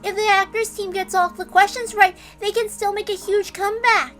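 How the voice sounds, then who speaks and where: Cute lil stuffed animal, super high bright voice, soft hearted and comforting, music underneath. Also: female voice, steady tone, moderate pace. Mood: eager, then worried. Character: small gentle stuffed animal friend, childlike, consoling. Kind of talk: dramatic reading of a visual novel.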